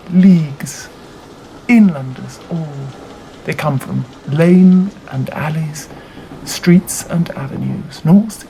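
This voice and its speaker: A narrator reading a classic novel aloud in a measured, deliberate way with dramatic phrasing. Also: mid-range voice, vocal fry, warm tone, rough recording. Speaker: audiobook reader. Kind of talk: scripted literary narration. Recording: audible background noise.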